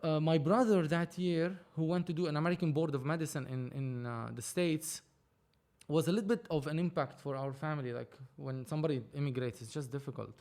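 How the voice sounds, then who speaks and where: A man, speaking in a voice that sits a little higher than most men's. He tells his story as a podcast guest in loose, animated chat, often restarting his sentences as he goes. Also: fairly quick. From Lebanon. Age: 30s. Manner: animated, rambling, frequent restarts. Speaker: film producer, podcast guest. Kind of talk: casual conversational storytelling.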